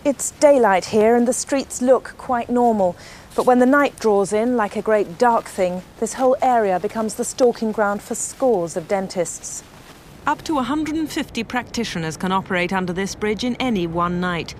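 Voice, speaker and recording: A woman's voice: expressive, moderate pace, a little mellow, close to an everyday voice. Traffic noise, actress as earnest female news reporter, grave, portentous, scripted investigation, comedy TV. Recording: noisy outdoor location report, traffic rumble.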